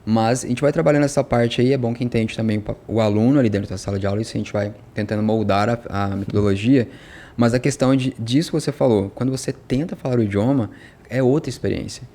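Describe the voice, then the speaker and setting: Brief: Brazilian man, guest; gravelly, quick delivery, mellow tone. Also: mid-range voice. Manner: animated storytelling with quoted dialogue. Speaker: podcast guest, language school owner. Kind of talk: casual conversation.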